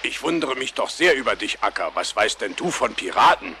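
A man's voice: fairly low pitch, big pitch swings, a little fast, sharp tone, slight background noise. A man voicing a dry, talkative, reassuring bird companion in a cartoon audio play. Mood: curious, wry.

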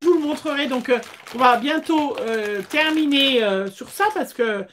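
A woman, chatting casually as the host of a craft livestream, with hesitant pauses. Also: high voice, fairly bright tone, slight background noise. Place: small indoor craft room.

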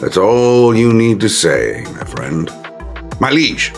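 A man playing a deferential gentleman vampire enforcer who speaks formally and with some hesitation. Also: fairly low pitch, big pitch swings, slow, creaky, voice pushed up, exaggerated voice. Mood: obliging. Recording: music behind the voice.

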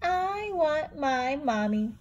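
A woman's voice: high-pitched, very animated, dull audio, a little hiss or hum, voice pushed up, heavily acted. Woman doing a whimpering tiny owl boy. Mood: plaintive. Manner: slow, plaintive whine.

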